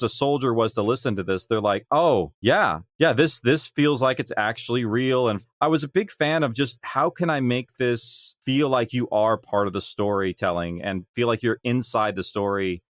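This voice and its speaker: A man's voice: medium pitch, dry recording. Earnest man, dry close recording. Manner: earnest, discursive. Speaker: audio drama creator, interview guest. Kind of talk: reflective podcast interview talk.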